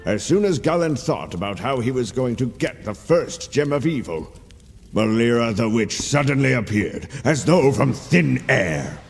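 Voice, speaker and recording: A man playing a patient storyteller, narrating a knight's adventure for a video game in a measured, dramatic way. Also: mid-range voice, sing-song, gravelly. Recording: long reverb, music underneath.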